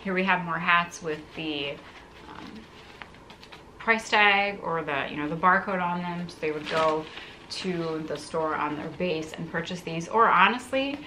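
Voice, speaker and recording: A woman's voice: on the low side, unhurried, noisy background. A woman in her thirties talking casually to camera as she hosts an unboxing vlog, musing aloud in a conversational way. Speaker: content creator, video host. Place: living room, indoors.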